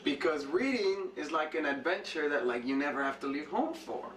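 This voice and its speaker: A man's voice: fairly high pitch, expressive, normal speed, background noise, lightly acted. A man playing a naive, dim-witted young slacker ghost hunter in a student TV sitcom, rambling in slangy fragments. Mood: earnest. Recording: echoey room, distant mic, music behind.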